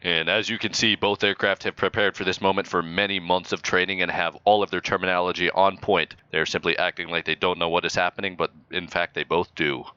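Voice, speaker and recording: A man speaking as a mock-official air traffic controller and announcer, improvising in a deadpan manner. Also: sits low, fairly quick, hardly any pauses, clean tone, no echo, lo-fi sound. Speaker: flight simulator player acting as air traffic controller and airshow announcer. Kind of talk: improvised radio talk and mock commentary.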